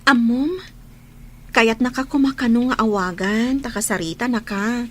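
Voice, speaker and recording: A woman's voice: fairly high pitch, moderate pace. A woman playing a chatty, teasing young friend in a radio drama, exclaiming often, with an Ilocano accent. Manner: animated, exclaiming.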